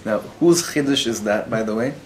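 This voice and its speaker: A man's voice: on the low side, normal speed, slight background noise. A man, a rabbi, teaching a live class to an audience in the room, mixing Hebrew and Yiddish words into his talk and putting questions to his listeners. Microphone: recorded for web students.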